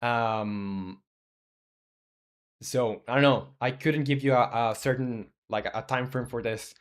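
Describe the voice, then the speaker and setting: A man's voice: medium pitch, slow. A man in his twenties chatting casually on a live stream as a game developer, rambling and thinking aloud with many fillers while answering chat questions. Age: 20s.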